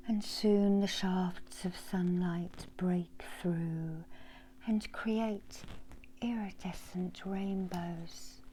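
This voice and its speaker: A middle-aged woman whispering a guided meditation in ASMR style, breathy and hushed, with long soothing pauses. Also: lowish voice, steady tone, slight background noise, poor audio. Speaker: meditation guide and Reiki healer. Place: small indoor room.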